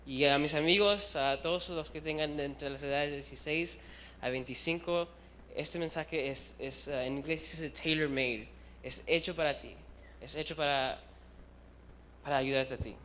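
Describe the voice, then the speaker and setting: A man's voice: a little high, normal speed, a bit bright, a little hiss or hum. A young man in his twenties from Chile, preaching to a youth group in a casual, self-deprecating way and slipping English words into his talk. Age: young adult. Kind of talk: casual storytelling sermon. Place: church hall.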